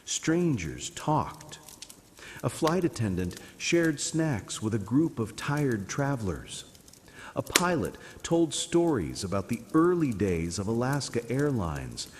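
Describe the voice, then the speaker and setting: Man, calm bedtime story narration, mid-pitched, dramatic delivery, long reverberant tail, some background noise.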